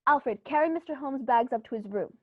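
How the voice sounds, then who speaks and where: Woman as genteel country squire, high-pitched. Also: a little fast, dry recording. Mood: cordial. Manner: theatrical, genteel, emphatic. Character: cordial, indignant upper-class English country squire, male. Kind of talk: 1930s-style radio play acting. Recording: dull, muffled recording.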